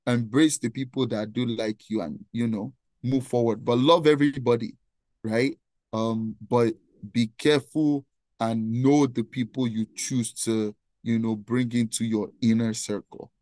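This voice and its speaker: A young man speaks with a clear Nigerian accent in a medium register, earnest and talkative, checking in with "right?" again and again. His voice sounds dry and close, with almost no room around it. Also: mid-range voice, steady tone, measured pace, darkish tone, dry recording. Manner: earnest, repeats "right?" often. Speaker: guest, nonprofit founder. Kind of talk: conversational interview answer.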